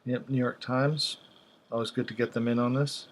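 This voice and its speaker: A man giving casual, unscripted commentary as a video host, speaking haltingly as he thinks aloud. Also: mid-range voice, steady tone, a little hiss or hum.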